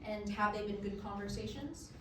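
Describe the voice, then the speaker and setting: A woman playing a patient, probing therapist in staged reading dialogue, with a measured, questioning manner. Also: medium pitch, lightly acted. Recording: echoey room from far off, background noise.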